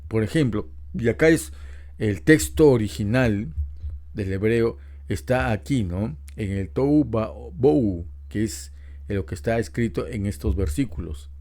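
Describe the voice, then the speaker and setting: Mellow-voiced man teaching scripture. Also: on the low side, expressive. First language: Spanish. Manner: explanatory, unhurried, with rhetorical questions. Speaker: preacher. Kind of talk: Bible teaching talk.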